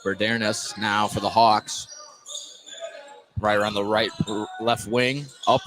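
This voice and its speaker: A man's voice: lowish voice, little pitch movement, slow. Man calling basketball over noisy gym. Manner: clipped play-by-play phrasing. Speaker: play-by-play basketball announcer. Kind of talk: live sports radio commentary. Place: indoor basketball gymnasium. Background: gym noise and basketball bounces.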